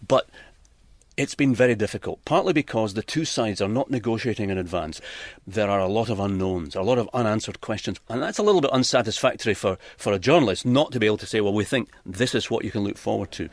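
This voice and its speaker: Male news reporter chatting about his work, lowish voice, very dry sound. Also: animated, fast talker, dry recording. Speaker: news correspondent. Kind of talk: informal interview talk.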